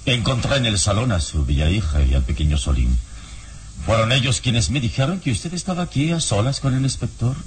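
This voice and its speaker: A man acting a calm heroic detective in an old Mexican radio serial, speaking in a measured, formal, commanding way. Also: medium pitch, slight background noise, natural voice. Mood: polite, probing. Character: calm, deep-voiced heroic detective adventurer.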